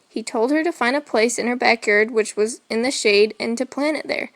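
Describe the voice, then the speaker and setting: A woman's voice: fairly high pitch, moderate pace, no echo. A woman reading a children's story aloud as narration. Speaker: storybook reader.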